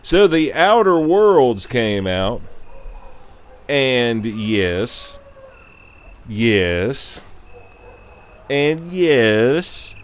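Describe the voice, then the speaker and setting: A man's voice: medium pitch, a little hiss or hum. A man in his thirties hosting a show, giving a blunt, profane, casual rant. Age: 30s. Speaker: podcast host. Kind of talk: casual opinionated gaming rant.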